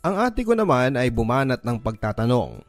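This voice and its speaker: A man's voice: mid-range voice, sing-song, moderate pace, no echo. Filipino man narrating over music. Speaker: radio drama host reading a listener's letter. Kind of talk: dramatic scripted first-person story narration. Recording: music bed under the voice.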